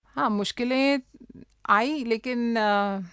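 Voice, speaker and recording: A woman with an Indian accent giving conversational interview answers, measured and hesitant, mixing in English words. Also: fairly high pitch, a bit bright, clear, resonant tone, no room sound, studio quality. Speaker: interview guest, public relations officer.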